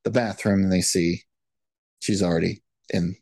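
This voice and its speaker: A man's voice: deep voice, steady tone, normal speed, a little mellow, no echo. A man co-hosting a podcast, recapping a movie in casual chat with lots of restarts. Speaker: podcast co-host.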